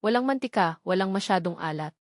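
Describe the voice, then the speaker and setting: A timid yet spirited young housemaid , as a woman narrator puts her on, respectful and quick to explain herself. Her voice sits at a middle pitch, and the recording is dry and close to the mic. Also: expressive, dry recording, lightly acted. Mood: defensive. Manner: polite, using po and sir, flustered and defensive. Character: young female housemaid, nervous but spirited.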